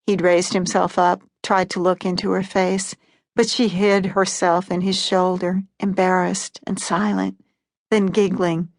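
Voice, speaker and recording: A woman reading literary short fiction as an audiobook narrator in a calm, even storytelling manner. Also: fairly low pitch, a little slow, no echo, clean studio sound.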